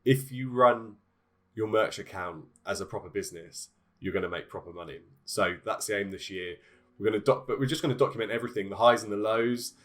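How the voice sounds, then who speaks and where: Man hosting a tutorial, nasal from a cold, lower voice, halting with fillers. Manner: hesitant, many fillers and restarts. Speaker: online business tutorial host. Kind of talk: casual screen-share tutorial.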